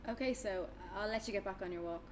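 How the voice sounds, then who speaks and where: A woman's voice: mid-range voice, fast, natural voice. A woman with an Irish accent playing a friendly, slightly forward young barmaid in a conversational drama read. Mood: teasing, light. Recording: room recording with faint background noise.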